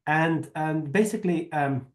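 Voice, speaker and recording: A man's voice: fairly high pitch, unhurried. A man from Afghanistan, a historian answering questions in an online seminar, speaking conversationally with many "you know" fillers. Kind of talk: academic talk and Q&A. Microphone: video call.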